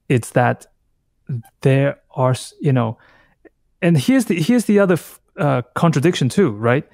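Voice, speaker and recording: A man in his thirties from Taiwan, a native Taiwanese speaker, talking reflectively as an interview guest in a halting, thoughtful way, often circling back to rephrase. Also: mid-range voice, creaky, a little mellow, no echo, clean studio sound. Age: 30s. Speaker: podcast guest, artist.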